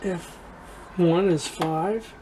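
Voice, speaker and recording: A man giving a casual hands-on demonstration to viewers as a hobbyist, haltingly searching for words and repeating himself. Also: high voice, mellow, slight background noise, poor audio. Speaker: radio restoration hobbyist presenting to viewers.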